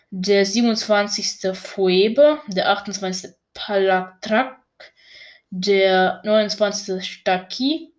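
A young woman casually reading a long list, laughing at her own pronunciation. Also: medium pitch. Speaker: video host listing moons.

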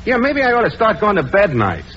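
A man's voice: sits high, wildly swooping pitch, a little fast. A man playing a whiny, lazy band guitarist in 1940s radio comedy, with folksy, slangy speech. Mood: plaintive. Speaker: band musician in comedy sketch. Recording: old lo-fi radio recording, noisy.

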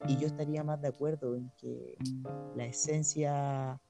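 A man talking in a casual philosophical conversation, with tentative, measured phrasing. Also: medium pitch, flat delivery, gravelly, over music. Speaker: conversation partner.

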